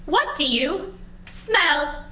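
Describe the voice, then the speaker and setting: A woman's voice: sits high, lively intonation, very slow, sharp tone, reverberant, distant mic, slight background noise, exaggerated voice. A woman playing an anxious, chirpy AI assistant in an audio drama, with formal, over-eager politeness. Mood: polite, probing. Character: anxious, chirpy female AI assistant guiding the digital afterlife.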